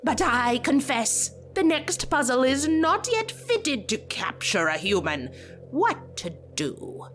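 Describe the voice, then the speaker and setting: A woman's voice: high-pitched, big pitch swings, takes it slowly, a bit bright, faint music behind, full character voice. A woman voicing a pompous, childlike, cheerful male skeleton with theatrical delivery in a comic dub. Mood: pondering, eager. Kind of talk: comic dub cartoon acting.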